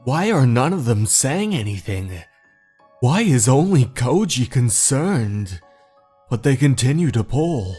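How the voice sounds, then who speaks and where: A man narrating a horror story in a measured, dramatic storytelling manner. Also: medium pitch, sing-song, dark tone, breathy edge. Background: faint music.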